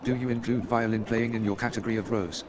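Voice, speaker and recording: A man's voice: medium pitch, steady tone, fast, highs cut off, noisy background, close to an everyday voice. A man playing an eccentric, enthusiastic, self-assured detective in a comic radio play, speaking in a declamatory way. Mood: cheerful. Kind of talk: comic stage-style radio play acting.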